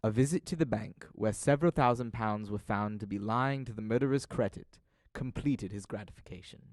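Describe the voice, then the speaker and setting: A man's voice: on the low side, a little fast, darkish tone, no echo. A man narrating an audio drama as a scripted story, with a formal, literary delivery.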